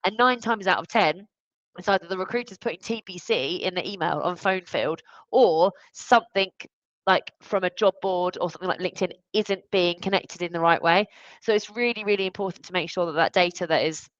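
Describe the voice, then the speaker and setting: A woman's voice: fairly low pitch, fairly quick, fairly bright tone, no room sound, dull audio. A woman explaining things in an emphatic, conversational way during a live business discussion, as a consultant would. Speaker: recruitment automation consultant. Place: online live stream.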